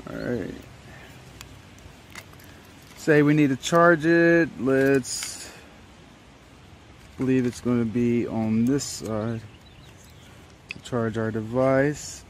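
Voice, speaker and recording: A man's voice: mid-range voice, steady tone, extremely slow, pauses often. A man giving a casual product demonstration as he unboxes, recorded outdoors from a step or two away. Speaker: product reviewer. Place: outdoors, wooded yard. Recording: faint outdoor background noise.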